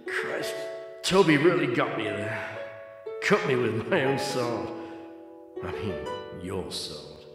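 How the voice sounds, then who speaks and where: An actor playing a bitter, nervous geology researcher in a radio play, halting and repeating his words. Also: mid-range voice, lively intonation. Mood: weary, resigned. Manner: hesitant, repeating words, swearing. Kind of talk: radio drama acting. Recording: very echoey cavern reverb with music.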